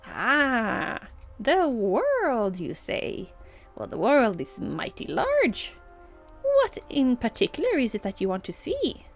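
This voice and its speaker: A soothing fae cat creature from a bedtime storybook, as a woman puts him on. He speaks slowly and coaxingly, his voice pitched up and swooping lively. Soft music drifts faintly behind him. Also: full character voice. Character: kindly, mysterious male fae cat creature.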